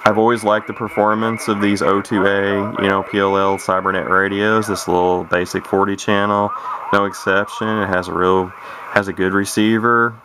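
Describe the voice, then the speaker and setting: A man's voice: lowish voice, little pitch movement, normal speed. A man giving a casual, unscripted demonstration as a radio hobbyist, rambling and hesitant in a folksy way. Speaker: vintage radio hobbyist presenting a video. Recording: noisy home video, radio static. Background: noise and CB radio chatter.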